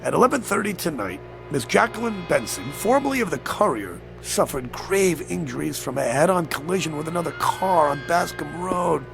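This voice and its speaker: Sly devil, creaky and theatrical. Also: male voice, on the high side, big pitch swings, normal speed, few pauses, music in the background. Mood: mock-regretful menace. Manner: mock-genteel, teasing, smooth-talking, menacing. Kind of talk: radio drama acting.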